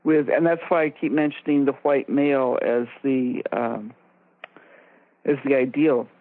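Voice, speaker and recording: A woman co-hosting a radio discussion, explaining ideas in a measured way and stressing her key points. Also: very deep voice, steady tone, no echo. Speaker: radio show co-host and expert. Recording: narrow phone-like line, very dry.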